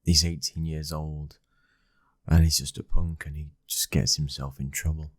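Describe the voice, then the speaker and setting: Very deep, husky British actor as mafia heir. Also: male voice, level tone, moderate pace. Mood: worried. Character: commanding mafia boss's son, stern but loving husband. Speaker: ASMR roleplay voice actor. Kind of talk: intimate ASMR boyfriend roleplay acting.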